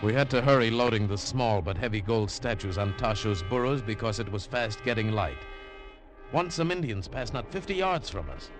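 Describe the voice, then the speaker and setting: A man as the hero-narrator of a 1950s radio adventure, telling it in an earnest, urgent way. His voice sits fairly low, with faint music running beneath. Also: expressive, moderate pace.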